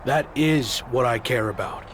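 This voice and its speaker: A man's voice: medium pitch, a little slow, fairly bright tone, a little hiss or hum, natural voice. A man playing a cocky, defiant young champion wrestler in a video game cutscene, blunt and confident.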